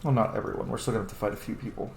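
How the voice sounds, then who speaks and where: A man's voice: on the low side, fairly even intonation, rapid-fire, creaky. A man giving casual, playful commentary on a game and chatting to its characters as he plays. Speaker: gamer narrating a playthrough. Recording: echoey room, background noise.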